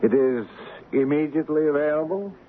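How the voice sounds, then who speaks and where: An actor playing a cautious business executive, formal, deliberate and controlled, in 1970s radio drama style. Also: male voice, a little high, lively intonation, close to an everyday voice. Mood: guarded. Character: cautious, dry, measured male business executive. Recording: narrow-band old radio, faint noise.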